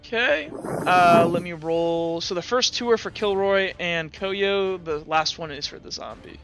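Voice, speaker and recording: A young man with a high, bright voice runs a tabletop game as game master. He talks in a rambling way, full of fillers and quick self-corrections. Faint music plays behind him. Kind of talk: running a tabletop game online.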